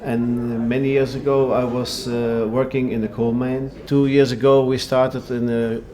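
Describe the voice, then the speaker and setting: A man who is a former coal miner, speaking haltingly in a documentary interview. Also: medium pitch, level tone. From Belgium. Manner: halting, searching for words. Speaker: former coal miner, energy cooperative founder.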